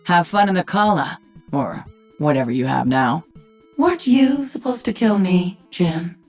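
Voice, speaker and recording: Game lead: battle-hardened alien queen, low husky register, dry sarcasm, absolute command, music bed. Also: lively intonation, unhurried, a little mellow. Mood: gloating, taunting. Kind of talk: video game character acting.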